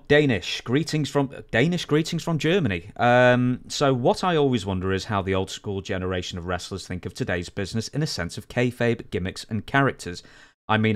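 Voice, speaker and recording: A man's voice: on the low side, clear, resonant tone. A young man co-hosting a podcast, speaking earnestly into a studio mic and reading out listener questions. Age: young adult. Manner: quick, earnest, reads questions aloud. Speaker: podcast co-host. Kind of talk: casual interview chat, reading listener questions. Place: room with shelves of collectibles. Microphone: studio mic with headphones.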